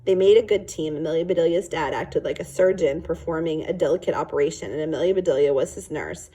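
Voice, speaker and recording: Lady reading a kids book out loud, lowish husky voice, really animated. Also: quick pace, poor audio. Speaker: storyteller reading a children's book aloud. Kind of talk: expressive read-aloud narration.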